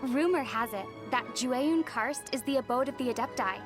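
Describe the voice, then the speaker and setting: A woman voicing a composed young female traveler in a video game, gentle, measured and thoughtful, with a wry edge. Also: high voice, over loud music, lightly acted. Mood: musing. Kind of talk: video game character voice lines.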